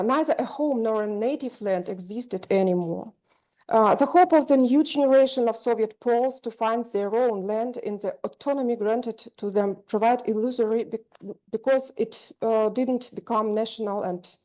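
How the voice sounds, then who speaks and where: Female presenter reading her paper, mellow voice, higher pitch, hesitant delivery. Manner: halting, with many "uh"s. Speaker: conference presenter. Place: conference room.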